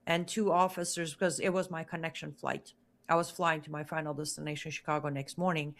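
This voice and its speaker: A woman's voice: low voice, level tone, brisk. A woman whose first language is Farsi, a guest telling her own story in an interview with earnest, emphatic speech that repeats words for stress. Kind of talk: interview testimony.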